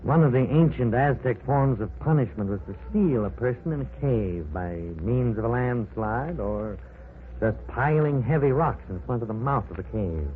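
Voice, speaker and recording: A self-assured older archaeology professor, voiced by an actor, lecturing with lively rises and falls in his voice. The sound is tinny and thin, like an old radio broadcast with a faint hiss underneath. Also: medium pitch, natural voice. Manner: authoritative, measured lecturing tone. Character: older male archaeology professor, confident, adventurous. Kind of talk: 1940s radio acting.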